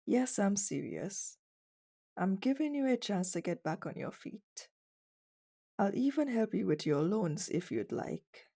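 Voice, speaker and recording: A woman's voice: medium pitch, sing-song, a little slow, creaky, dark tone, husky, full character voice. A woman playing a cold, dominant female CEO with a stern, condescending and flirtatious manner in an ASMR roleplay. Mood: stern, controlled.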